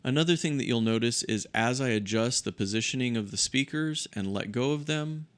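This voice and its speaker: A man presenting a software tutorial, explaining methodically in step-by-step instructional narration. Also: medium pitch, a little fast, smooth. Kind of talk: instructional screen-share narration.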